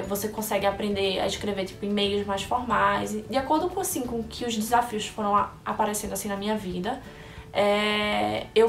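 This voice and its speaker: Young woman, from Brazil, chatty vlog, lots of restarts, gravelly edge, echoey room. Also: medium pitch. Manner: chatty, thinks aloud with many restarts and fillers. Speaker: vlogger answering viewer questions. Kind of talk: casual chat to camera. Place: indoor room in Orlando under bright lights. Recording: echoey room, voice a bit far from the mic, faint music behind.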